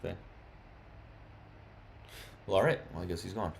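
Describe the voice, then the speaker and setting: A man with a lowish voice runs a casual live stream, greeting viewers and taking callers. He talks in an easy, chatty way but gets heated and insistent when he argues. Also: a little slow, vocal fry, background noise. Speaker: livestream host.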